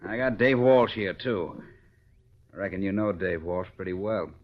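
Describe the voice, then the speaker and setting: An actor playing a slippery, bullying rancher villain with blustering, rough western talk in a 1940s radio western. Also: male voice, sits low, moderate pace. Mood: scheming. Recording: muffled vintage radio recording.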